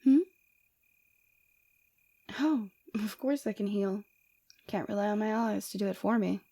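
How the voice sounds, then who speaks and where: A woman playing a flirtatious, forward rebel villainess with a secretly caring side, in a soft, teasing, intimate ASMR roleplay. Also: medium pitch, a little slow, creaky. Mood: tender, concerned.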